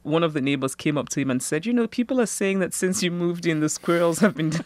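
A woman's voice: lowish voice, a little mellow, no echo. Thoughtful Nigerian woman novelist. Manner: measured, thoughtful, laughs easily. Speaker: novelist, guest. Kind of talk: reading her fiction aloud, then interview answers.

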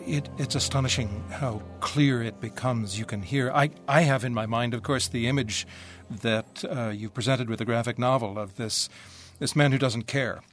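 A man's voice: mid-range voice, soft background music. Reflective male interviewer. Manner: reflective, halting. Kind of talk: thoughtful interview questions.

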